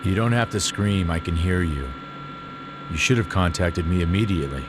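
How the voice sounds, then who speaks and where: A man's voice: sits low, expressive, normal speed, heavy background noise. A man playing a cold, stoic commando in a video game, speaking in terse, curt lines. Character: cold, stoic young male commando on assault missions. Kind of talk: video game cutscene acting. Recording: loud music and effects behind.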